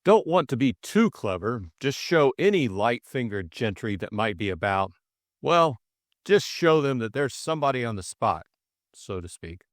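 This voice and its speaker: A man's voice: mid-range voice, sing-song, dry recording, natural voice. Man acting police superintendent, audiobook dialogue, stolid, colloquial, swinging intonation, dry recording. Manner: understated, colloquial, deliberate. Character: stolid, wooden, unhurried male police superintendent.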